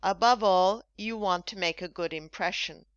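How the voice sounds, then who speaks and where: A woman hosting an English-lesson podcast, speaking slowly and enunciating carefully for learners. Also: medium pitch, smooth, no echo. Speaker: English teacher and podcast host. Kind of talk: scripted language lesson. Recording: dull lo-fi dry recording.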